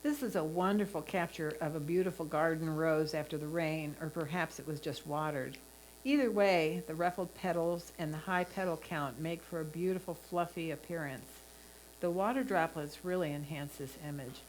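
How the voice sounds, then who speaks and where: Over faint noise and hum, a woman with a fairly low voice delivers a prepared critique of photographs. She speaks as a competition judge assessing each image. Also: normal speed, darkish tone, poor audio.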